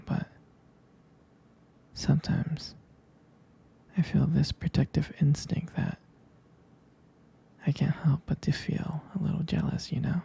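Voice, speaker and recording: A man playing a jealous, protective, tender boyfriend in an intimate ASMR roleplay, speaking softly and hesitantly in a breathy, husky, gravelly whisper. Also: fairly high pitch, full character voice. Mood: uneasy, jealous. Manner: slow, hesitant, soft-spoken.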